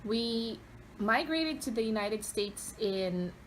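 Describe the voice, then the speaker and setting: A woman, answering interview questions conversationally and pausing often mid-phrase. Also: a little high, animated, slight background noise, low-quality recording. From Philippines, Cavite province. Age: 30s.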